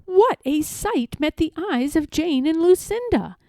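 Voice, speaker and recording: A woman reading a children's story aloud in an expressive, measured, emphatic storytelling manner. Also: very high-pitched, no room sound, studio quality. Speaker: storybook reader.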